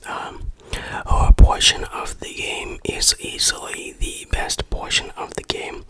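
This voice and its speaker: A person speaking in a breathy whisper, giving a playful, tongue-in-cheek ASMR game review. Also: sharp tone, rough recording.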